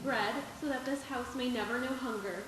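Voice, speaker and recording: Woman playing a high-voiced, devoted young wife. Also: moderate pace, fairly bright tone, slight background noise, lightly acted. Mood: tender, gracious. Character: devoted, generous young wife, gracious and tender. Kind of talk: live stage radio play acting. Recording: echoey stage with music.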